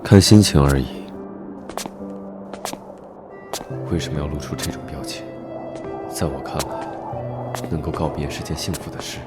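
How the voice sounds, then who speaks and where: An extremely deep male voice for a cold, arrogant young nobleman. He speaks with cool detachment in an animated drama. Loud music plays behind him. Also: fairly quick. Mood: cold, brooding. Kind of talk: dubbed animated romance drama with inner monologue.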